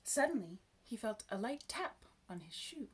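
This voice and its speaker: Woman reading a storybook with animated intonation. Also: fairly high pitch, slightly rough. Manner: expressive, measured, dramatic phrasing. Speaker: storybook reader. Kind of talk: expressive scripted narration of a children's story.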